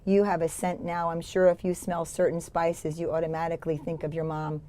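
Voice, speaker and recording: Mellow, low-voiced woman hosting an interview. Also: flat delivery, brisk, a little hiss or hum. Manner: hesitant, stammering starts. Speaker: podcast host and event planner.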